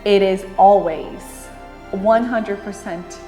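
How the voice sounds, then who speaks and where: A woman from Brazil, speaking candidly and lively in an interview, with bursts of speed. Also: medium pitch, slow, echoey room, long reverb tail, music in the background. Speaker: interviewee, runner.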